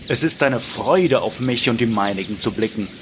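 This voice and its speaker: A man's voice: mid-range voice, animated, normal speed, background noise, natural voice. A man voicing a vain, haughty buckwheat plant in a fairy-tale audio play, boastful and scornful.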